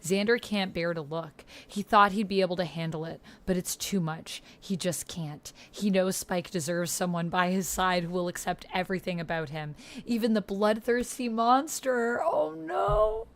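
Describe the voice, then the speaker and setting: A woman with a slightly low voice plays through a text-based roleplay as its host. She reads passages aloud in a casual way, with an expressive, reactive delivery. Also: moderate pace, hardly any pauses. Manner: expressive, gasping and exclaiming reactions between read passages. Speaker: solo tabletop roleplay player and host.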